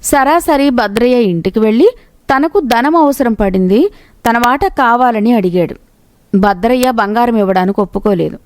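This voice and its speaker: A woman narrates a moral tale from a script. Her voice sits a little high. The sound is dry, with very little room on it. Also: darkish tone, no echo. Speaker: storyteller narrator.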